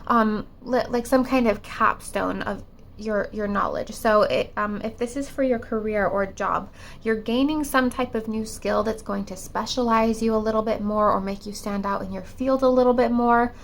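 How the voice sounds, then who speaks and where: Gravelly-voiced woman recovering from a cold. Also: a little high, steady tone, moderate pace, slight background noise. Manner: musing, hesitant, many fillers and restarts. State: voice affected by a cold. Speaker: tarot reader. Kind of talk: pick-a-card tarot reading.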